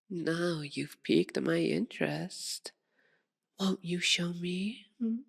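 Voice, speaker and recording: A woman's voice: sits low, vocal fry, exaggerated voice. A woman playing a seductive, possessive, sadistic vampire aristocrat in an ASMR audio roleplay, with a theatrical, purring, teasing formality. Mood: curious, coaxing. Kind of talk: ASMR roleplay, dramatic monologue to listener.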